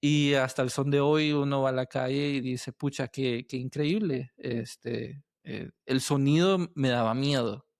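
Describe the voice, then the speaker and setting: A man hosting a casual podcast interview, halting as he searches for words and often checking with tag questions. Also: medium pitch, steady tone, dry recording, clean studio sound.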